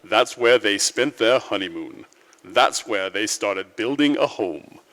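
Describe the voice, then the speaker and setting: An actor playing a calm, authoritative senior angel who narrates a 1940s-style radio drama, recorded live in a church. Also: male voice, on the low side, measured pace. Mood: storytelling. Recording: live church hall, long reverb.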